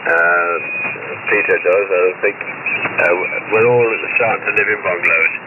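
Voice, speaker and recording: An older man running an amateur radio net, chatting unscripted and pausing often as he hands over. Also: low voice. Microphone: voice received over shortwave radio. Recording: very noisy, narrow shortwave radio signal.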